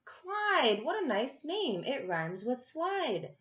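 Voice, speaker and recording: Lady doing a big loud lunch lady from a kids book, brisk n kind, goes up high, bit muffled. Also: sing-song, exaggerated voice. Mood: brisk, friendly. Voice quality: large, booming voice. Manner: bossy but affectionate, addressing small children. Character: big lumpy lunch lady, booming, brisk, kindly to children.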